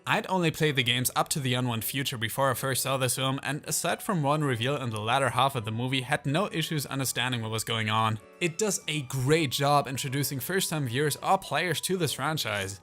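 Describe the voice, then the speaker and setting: A man delivering scripted video essay narration as a channel host, with animated, theatrical asides. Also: medium pitch.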